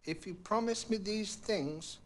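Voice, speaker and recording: A man voicing a polite, earnest talking frog from a children's storybook, with formal, coaxing and deliberate phrasing. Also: high voice, a bit bright, pitched above the performer's own voice. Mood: earnest, insistent.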